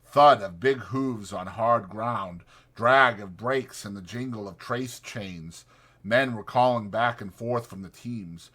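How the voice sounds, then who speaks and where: A man's voice: lowish voice, slow, fairly bright tone. A man narrating fiction as a scripted, dramatic audiobook reading.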